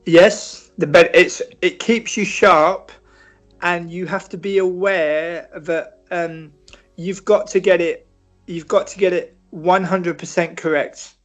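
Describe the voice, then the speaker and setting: A British man answering interview questions in a measured, reflective way, often restarting and filling pauses. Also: high-pitched, steady tone, fairly bright tone, faint music behind. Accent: British English. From Britain. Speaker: football writer and interview guest.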